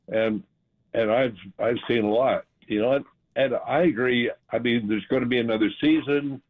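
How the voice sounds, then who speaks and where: An older American man from California, over sixty, calling a radio show by phone and chatting casually in a halting, folksy way. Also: mid-range voice, fairly even intonation. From California, USA. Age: 60s or older. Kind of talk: casual chat. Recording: tinny, narrow phone line.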